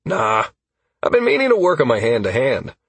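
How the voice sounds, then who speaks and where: A man's voice: medium pitch, huge pitch swings, moderate pace, fairly bright tone, husky, no room sound, voice pushed up, heavily acted. A man playing a wry, cocky male party leader in a fantasy audiobook, with a dry, teasing manner. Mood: nonchalant.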